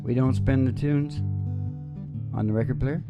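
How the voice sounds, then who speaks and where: A man's voice: medium pitch, fairly even intonation, moderate pace. A man hosting a live radio show with rambling, folksy, playful chat, often repeating his phrases. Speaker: radio show host and singer. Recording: guitar music behind the voice. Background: acoustic guitar.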